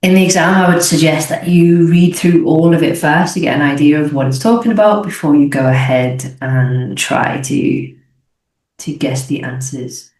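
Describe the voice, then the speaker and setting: A woman's voice: low voice, normal speed, darkish tone. A young adult English teacher, a woman from the UK, explaining exam questions as she thinks aloud and corrects herself. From United Kingdom. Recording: echoey room.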